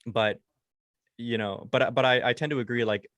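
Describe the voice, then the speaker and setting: A man's voice: mid-range voice, steady tone, moderate pace, a bit bright, no echo, lo-fi sound. A man in his twenties, a dog-trainer podcast co-host, chatting casually and rambling with lots of restarts and fillers. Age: 20s.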